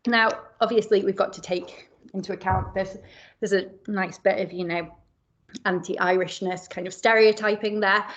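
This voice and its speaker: A woman academic presenting a seminar paper in a measured way, often pausing with fillers and correcting herself mid-word. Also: mid-range voice, fairly even intonation, dull audio. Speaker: academic speaker.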